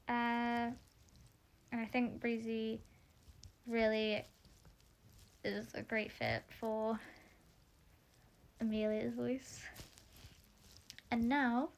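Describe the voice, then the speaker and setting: A young woman introducing the cast of her audio drama in casual chat, rambling and a little croaky from being tired. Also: fairly high pitch. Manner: chatty, rambling, self-interrupting.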